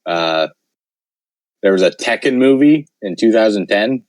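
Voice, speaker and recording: A man with a lowish voice chats casually on a podcast, rambling and leaning on fillers. His voice sounds worn and hoarse. The sound is dry and close. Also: lively intonation, unhurried, darkish tone, dry recording. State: losing his voice. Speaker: podcast host. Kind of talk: casual chat.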